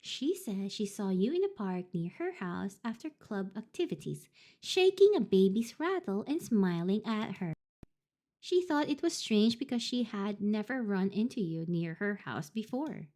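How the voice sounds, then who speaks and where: A woman's voice: mid-range voice, big pitch swings, measured pace, darkish tone. A woman voicing a cheerful, gossipy teenage schoolgirl classmate in a visual novel. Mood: puzzled.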